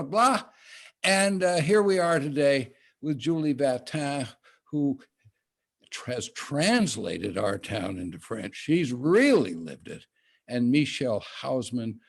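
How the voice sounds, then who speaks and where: A man giving a storytelling introduction to a panel, posing rhetorical questions before handing over to the guests. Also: mid-range voice. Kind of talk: spoken introduction.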